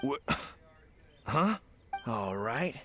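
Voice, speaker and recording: A man's voice: medium pitch, sing-song, very slow, sharp tone, soft background music, heavily acted. A man playing a shifty, surly bandit in a video game, blustering and defensive. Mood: sullen retreat. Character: shifty, surly bandit thug who backs down.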